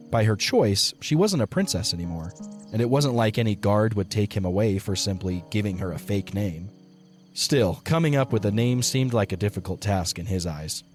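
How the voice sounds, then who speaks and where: A man's voice: fairly low pitch, a little mellow. A man reading scripted story narration for an audio drama.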